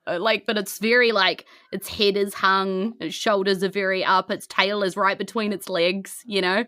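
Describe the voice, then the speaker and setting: A woman with a New Zealand accent narrates a tabletop game as game master, improvising descriptions in short, halting phrases. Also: mid-range voice, fairly even intonation, bright, forceful tone, no echo, studio quality.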